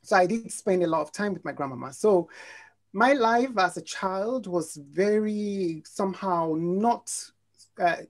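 A young adult woman with a Nigerian accent, telling her life story in a video call interview, reflective and halting with restarts. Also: mid-range voice. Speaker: interview guest. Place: home room, indoors.

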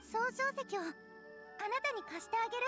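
A gentle little anime game girl. The pitch is extremely high and the delivery soft and hesitant. She sounds earnest and fragile, over faint music. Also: expressive, moderate pace, heavily acted. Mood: gentle, sincere.

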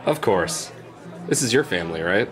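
A man's voice: low voice, expressive, moderate pace, soft background music, some chatter behind. An actor playing an easygoing, affable male wine trader in a fantasy audio drama, upbeat in manner. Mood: cheerful.

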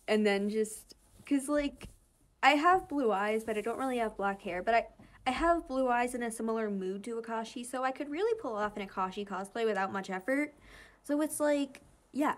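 A woman with a somewhat high voice talks in a casual, chatty way. She rambles through her thoughts in a gushing, giggly manner, as a host reacting to fan comics. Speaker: host reading fan comic dubs and commenting.

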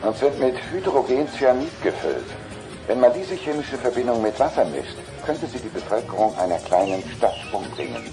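Talking car for radio play: male actor, polite, precise, mid-pitched with animated melody. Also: moderate pace, over music, natural voice. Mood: concerned, precise. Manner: formal, precise. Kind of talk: audio drama acting.

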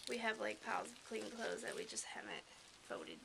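A young woman with a regional American accent, gushing softly and emotionally as she unboxes a gift in a small room at home, filmed on a webcam. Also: medium pitch, huge pitch swings, normal speed, vocal fry, noisy background. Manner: gushing, emotional, soft-spoken and rambling. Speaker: vlogger. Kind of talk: casual unboxing vlog.

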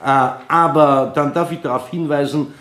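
An actor playing a methodical, courteous Austrian police chief in a radio crime drama, speaking officially and deliberately. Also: male voice, a little high, steady tone, fairly bright tone, lightly acted. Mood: formal, patient. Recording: roomy, reverberant radio play sound.

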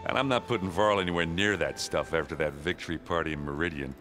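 Game sidekick: deep, gruff, good-natured soldier, joking and self-deprecating, music underneath. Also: male voice, fast talker, sharp tone. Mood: jovial. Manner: hesitant, joking, blokey and sincere. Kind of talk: video game dialogue acting. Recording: prominent music behind the voice.

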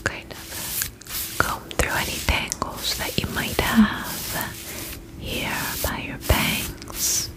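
Woman doing asmr spa roleplay, breathy whisper, really slow, gentle and reassuring. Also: poor audio. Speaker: ASMR performer playing a spa attendant. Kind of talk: ASMR roleplay, personal-attention service talk.

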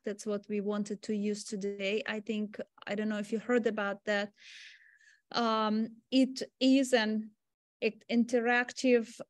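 A woman's voice: a little high, no echo, clean studio sound. A middle-aged woman, a teacher, explaining her classroom tools on a video call. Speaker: teacher, presenter. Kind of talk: explanatory webinar talk.

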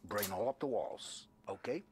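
A gruff old mob gunsmith coaches in blunt tough talk. His pitch swings lively. Faint noise sits under the game audio. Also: a little slow. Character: gruff older male gangster gunsmith.

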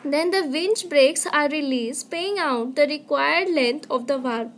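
A woman teaching an online class over slides, explaining step by step with halting restarts. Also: high voice. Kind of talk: slide-based lecture.

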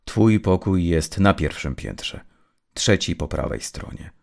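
A man voicing a cold, commanding, enigmatic man in a dark story, with a low voice and slow, terse delivery. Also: lively intonation, creaky, full character voice. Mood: curt instruction.

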